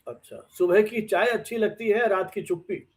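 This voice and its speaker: Male interview host, distinctly high pitch, deliberate conversational questioning.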